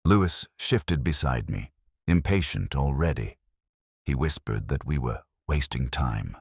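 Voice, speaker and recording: A man narrating a scripted first-person detective story in a measured, literary, brooding manner. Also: very deep voice, lively intonation, gravelly, husky, no room sound, studio quality.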